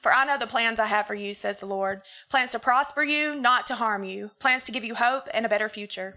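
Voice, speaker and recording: Woman from Mississippi, thirties, spokeswoman, earnest delivery, bright tone, slightly high voice. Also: fairly quick. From Mississippi, USA. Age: 30s. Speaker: program spokeswoman. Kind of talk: introducing a testimony program.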